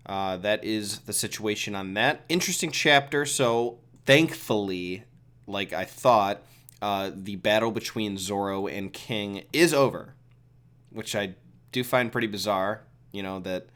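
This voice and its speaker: A man who is a little sick hosting a relaxed, chatty solo review, giving his opinions with lots of fillers. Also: lowish voice, smooth. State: sick with a cold. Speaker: video host reviewing a comic chapter. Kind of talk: casual solo commentary.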